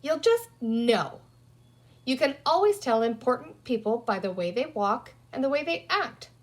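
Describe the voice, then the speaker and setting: Woman as a bossy young girl, resourceful older sister, storybook character, high and swoopy, emphatic. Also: lively intonation, unhurried. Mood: decisive. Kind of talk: children's storybook character reading.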